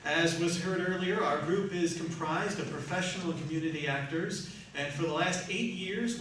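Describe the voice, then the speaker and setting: A man's voice: fairly high pitch, fairly bright tone. Showmanlike man hosting in a very echoey room. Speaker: host of a live radio theatre troupe. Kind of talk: prepared stage introduction to audience. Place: large echoey room, live performance. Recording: very echoey room, distant mic, hiss.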